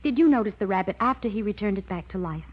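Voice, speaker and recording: An actress playing a scientist's worried, principled daughter in an old-time radio drama, pleading with foreboding. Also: female voice, medium pitch, animated, quick pace, slightly rough. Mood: anxious. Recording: muffled vintage radio recording.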